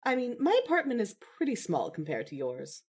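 Woman acting a young newlywed, sheepish and sweet, lively pitch, kinda formal. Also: a little fast, husky, full character voice. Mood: affectionate. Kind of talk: fan fiction audio drama acting.

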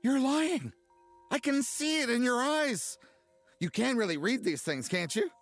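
A man's voice: very high-pitched, animated, measured pace, fairly bright tone, no room sound, faint music behind, full character voice. An actor playing an anxious, luckless ad man in an audio drama, pleading and insistent. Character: anxious, desperate, luckless low-level ad man. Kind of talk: naturalistic audio drama acting.

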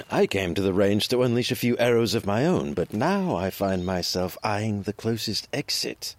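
A man playing a conflicted, cautious and wry nobleman in an audio drama, with measured courtly phrasing and a defensive edge. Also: fairly low pitch, expressive, dry recording, close to an everyday voice.

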